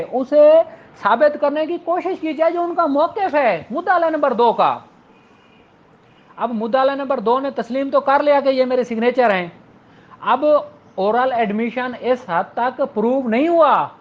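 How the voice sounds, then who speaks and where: The audio is muffled and low in fidelity. A law lecturer with a Pakistani accent speaks at a middling pitch, explaining things step by step in an informal classroom style. English legal terms are mixed into the explanations and examples. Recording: dull, lo-fi audio.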